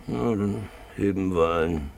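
Boozy roguish gentleman for a fifties radio play: low, gravelly, theatrical, jovially lecherous, grumbles then cries out. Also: slow, a little hiss or hum, heavily acted. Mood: indignant. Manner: theatrical, swinging from grumbling mumble to loud wheedling cries. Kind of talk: 1950s German radio drama acting.

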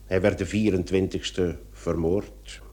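A man's voice: low-pitched, fairly even intonation, slow pace, dark tone. A man playing a shrewd police commissioner in a radio play, explaining things methodically and with assurance. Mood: assured reasoning.

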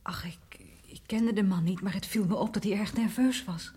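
A woman's voice: mid-range voice, moderate pace, warm tone, natural voice. An actress playing an earnest, anxious young woman in a radio play, speaking hesitantly. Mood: uneasy, earnest. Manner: hesitant, repeats words.

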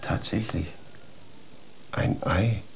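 Children's radio king: theatrical food-loving father, slow delivery, faint noise behind. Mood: grumbling. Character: fussy, food-minded old king and papa. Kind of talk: children's radio play acting.

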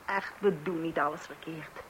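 A woman's voice: deep voice, steady tone, moderate pace, close to an everyday voice. An actress playing a long-suffering wife and mother in a Dutch radio play, hesitant and placating. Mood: soothing. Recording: hissy old radio recording.

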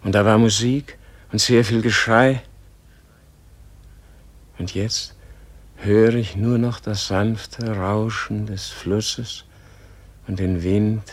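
Dying old holy man, low voice, very slow and halting, gentle, resigned, husky, radio drama. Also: fairly even intonation, dry recording. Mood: gentle, wondering. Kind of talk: radio play acting.

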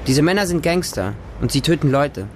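An actor playing a homeless street child, narrating a radio drama in simple, intimate short sentences. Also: male voice, on the high side, expressive, normal speed, a little hiss or hum, lightly acted. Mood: earnest, plain. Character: homeless Moscow street child who befriends stray dogs. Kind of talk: first-person radio drama monologue.

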